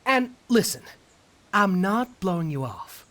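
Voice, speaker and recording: A woman playing a nervy, emphatic, sarcastic schemer in an animated comic dub, with a halting delivery. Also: mid-range voice. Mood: sarcastic, insistent. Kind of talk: animated comic fandub acting.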